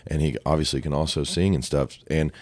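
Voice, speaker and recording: A man from Ohio with a deep voice, a guest singer-songwriter talking casually about his craft, relaxed and chatty with lots of "dude" and "right?". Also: fairly quick, no room sound. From Ohio, USA. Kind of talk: casual podcast conversation.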